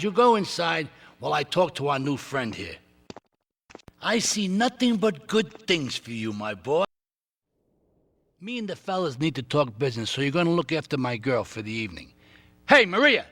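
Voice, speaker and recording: A man playing an older mob boss in a game cutscene, gruff and commanding. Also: fairly high pitch, fairly bright tone, no room sound, full character voice. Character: older male mob boss, bossy and crude. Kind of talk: video game cutscene acting.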